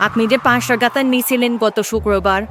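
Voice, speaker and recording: A woman playing a polite but persistent village neighbour in an animated story. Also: on the high side, fast talker, slight background noise, natural voice. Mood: polite, pressing.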